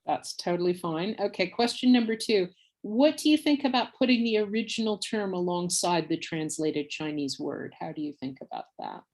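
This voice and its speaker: A woman's voice: on the low side, animated, moderate pace, darkish tone. A woman moderating a panel discussion, asking inquisitive questions and reading out audience questions.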